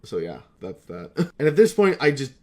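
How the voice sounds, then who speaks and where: A man speaks at mid pitch in a loose, confessional ramble, like a casual vlog. He is candid and self-deprecating, and swears freely as he reflects. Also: wildly swooping pitch, moderate pace, mellow. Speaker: voice actor reflecting on hobby career.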